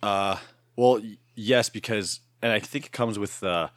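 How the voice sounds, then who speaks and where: A man in his thirties hosting a podcast in a casual chat, his voice raspy and hoarse from shouting, rambling with fillers and laughs. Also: fairly low pitch, measured pace, no room sound. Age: 30s. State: hoarse from shouting the night before. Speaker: podcast host.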